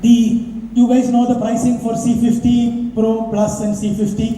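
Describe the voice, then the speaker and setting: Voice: medium pitch, flat delivery, over music. Echoey far-off launch presenter. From India. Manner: halting, many uh fillers. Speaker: company presenter. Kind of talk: speech at a product launch. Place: hotel event hall. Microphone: far from the recording camera. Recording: echoey hall recorded from far off.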